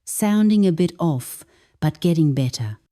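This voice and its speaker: A woman, an English teacher, calmly reading a first-person story aloud as scripted narration. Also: deep voice, measured pace.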